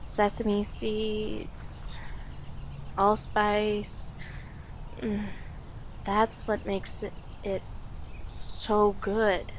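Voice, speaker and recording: A woman's voice: medium pitch, completely flat delivery, slight background noise. A woman playing a playful, affectionate young girlfriend on a date in an intimate, conversational audio roleplay, with a teasing manner. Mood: chatty, amused. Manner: teasing, giggly, conversational with pauses.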